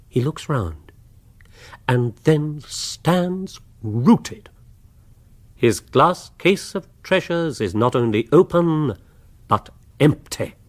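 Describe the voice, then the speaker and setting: An urbane English author narrates a radio comedy. His voice is fairly low yet very varied in pitch. The refined delivery is dry, arch and polished. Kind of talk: scripted radio drama narration.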